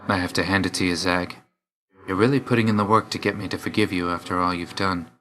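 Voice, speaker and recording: An actor playing an aloof, weary young god of death in a video game, speaking in a restrained, clipped and solemn way. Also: male voice, deep voice, fairly even intonation, quick pace, fairly bright tone. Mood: reserved, grudging.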